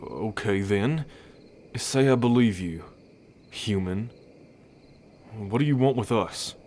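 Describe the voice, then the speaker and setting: A wary, wounded bull man acted by a man from a fantasy audio roleplay. He talks slowly and haltingly, guarded with every phrase. Night crickets and steady background noise sit behind him. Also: fairly low pitch. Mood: suspicious. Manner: halting, guarded, hesitant delivery. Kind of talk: ASMR audio roleplay acting.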